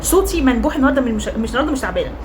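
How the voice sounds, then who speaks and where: A young woman with an Egyptian Arabic accent, hoarse from cheering, rating football players in a chatty, teasing, emphatic monologue. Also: sits high, animated, very fast, background noise. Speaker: football fan vlogger.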